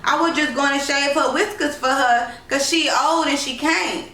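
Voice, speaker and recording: A woman's voice: sits high, normal speed, sharp tone, pitched above the performer's own voice. A woman voicing a cheeky, imaginative little country girl with a flair for drama in a storybook reading. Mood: pleading. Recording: roomy, distant, noisy home recording.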